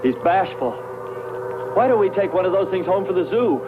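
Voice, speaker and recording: An actor, a man, playing an excitable astronaut crewman on a hostile planet in a 1960s science fiction film dub. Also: high-pitched, normal speed. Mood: relieved, puzzled. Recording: very noisy, muffled old film soundtrack.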